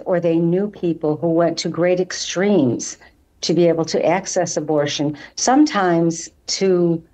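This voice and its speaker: A woman's voice: fairly low pitch, warm tone. Older woman, dull video-call sound. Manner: earnest, slow and hesitant. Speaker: panel guest. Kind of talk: live panel discussion. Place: home room.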